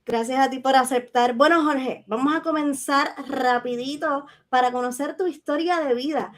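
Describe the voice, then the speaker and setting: A woman's voice: high voice, normal speed. A woman hosting a conversational interview in a welcoming manner. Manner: welcoming, complimentary to guest. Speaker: interview show host.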